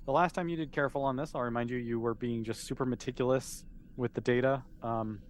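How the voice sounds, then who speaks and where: A man's voice: mid-range voice, fairly even intonation, quick pace. Informal man game-mastering, some background noise. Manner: informal, conversational, explanatory. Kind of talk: casual improvised narration of a tabletop roleplaying game.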